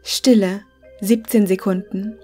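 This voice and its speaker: A woman's voice: medium pitch, steady tone, takes it slowly, a little mellow, husky, faint music behind. A woman reading scripted clinical logs as a narrator, formal and matter-of-fact.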